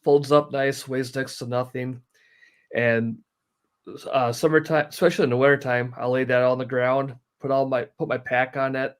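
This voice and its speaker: A man's voice: medium pitch, flat delivery, normal speed, clean tone. A man giving casual, practical explanations as a guest, in a matter-of-fact, step-by-step way. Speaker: guest, hobbyist sharing practical tips.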